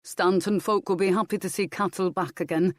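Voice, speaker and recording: A woman's voice: fairly low pitch, fairly even intonation, fairly quick, no room sound, clean studio sound, lightly acted. A woman voicing a loyal male steward who answers respectfully and reassuringly.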